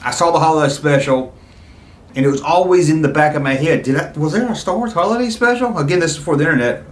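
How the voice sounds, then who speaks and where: A man's voice: fairly high pitch, fast, reverberant. A middle-aged man talking casually and nostalgically to his viewers, with an enthusiastic, rambling, conversational manner. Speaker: YouTube video host.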